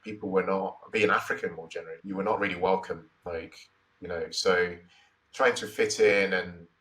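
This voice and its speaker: Deep, bright-toned Nigerian man, slightly distant. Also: steady tone, moderate pace. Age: 40s. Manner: thoughtful, repeats phrases, many "you know, like" fillers. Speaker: guest. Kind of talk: reflective interview answers.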